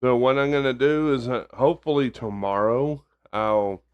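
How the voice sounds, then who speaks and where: A young man with a monotone baritone voice, co-hosting a casual podcast chat. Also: moderate pace, clear, resonant tone, dry recording, muffled recording. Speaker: podcast co-host.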